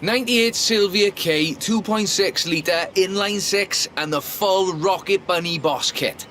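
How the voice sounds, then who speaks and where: An actor playing an excitable, car-obsessed drift club mentor in a video game, chatty, theatrical and playfully pedantic. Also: male voice, high voice, measured pace. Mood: enthusiastic, chatty. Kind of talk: video game mission commentary. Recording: voice under loud car engine noise.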